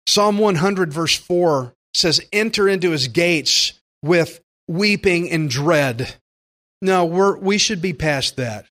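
A man delivers a sermon in a somewhat high voice. He is earnest and emphatic, stretching out key phrases for weight as he preaches and reads scripture. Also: lively intonation, takes it slowly, fairly bright tone.

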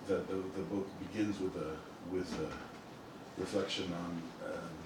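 A man whose first language is Spanish, an author talking thoughtfully about his book in a halting, self-correcting way. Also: deep voice, fairly even intonation. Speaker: author speaking at a book event. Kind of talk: reflective informal talk. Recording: echoey room from a distant mic, noisy.

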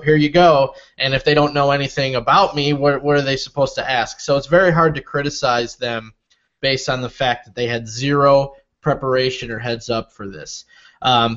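A man in his thirties answering interview questions in a relaxed, rambling, measured way. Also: medium pitch, dull audio. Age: 30s. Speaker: interview guest. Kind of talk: casual interview talk.

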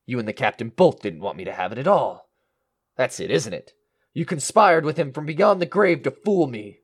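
A man's voice: mid-range voice, very animated, moderate pace, slightly rough. An actor playing a greedy, paranoid man coming apart in an audio drama, agitated and theatrical. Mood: frantic accusing. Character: greedy, paranoid man unravelling into murderous madness. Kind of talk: audio drama acting with first-person narration.

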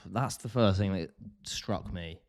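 A man's voice: sits low, slow, creaky, a little mellow. A man co-hosting a podcast, chatting in a wry, easygoing way and laughing often. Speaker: podcast co-host. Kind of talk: casual podcast chat.

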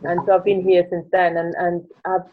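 A woman's voice: lowish voice, level tone, moderate pace. A French woman in her forties with a French accent answers questions in a thoughtful way. She searches for words and restarts often. Her voice comes through a thin, tinny, phone-like line. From France. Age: 40s. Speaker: guest, coach. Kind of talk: reflective interview answers.

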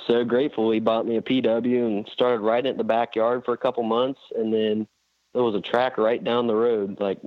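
Young man from Indiana, low-voiced, relaxed and rambling, heard over a tinny phone line, dry sound. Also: no room sound. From Indiana, USA. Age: young adult. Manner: laid-back, rambling with fillers. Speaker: guest rider. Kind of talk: casual phone interview.

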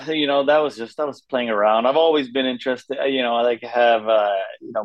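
A man's voice: lowish voice, no room sound, muffled recording. Swedish-born man, 30s, casual guest, rambling with fillers, dry close mic. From Sweden. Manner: rambling, many fillers and restarts. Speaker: retired hockey player, guest. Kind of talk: casual interview answers.

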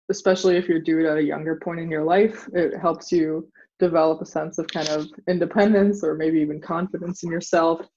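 Guy answering interview questions, laughs a lot, kinda high voice, muffled lo-fi audio. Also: darkish tone. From United States. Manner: chuckles often, hedges with "maybe" and "kind of". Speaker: interview guest, former exchange student. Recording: dull lo-fi recording.

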